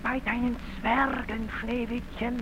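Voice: mid-range voice, level tone, measured pace, long reverb tail, a little hiss or hum, close to an everyday voice, poor audio. A performer voicing an earnest little gold dwarf in an old radio play. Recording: 1930s radio recording over loud music.

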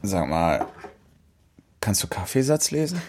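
A man playing a tipsy, bookish friend in a radio play, playful and stubborn, rambling slowly. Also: low voice, sing-song, a little mellow, heavily acted. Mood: curious.

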